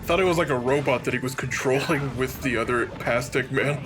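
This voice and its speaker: A man's voice: medium pitch, fairly quick. A man chatting casually as a commentator on a video game. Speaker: let's play commentator. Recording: game music behind the voice. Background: loud video game music.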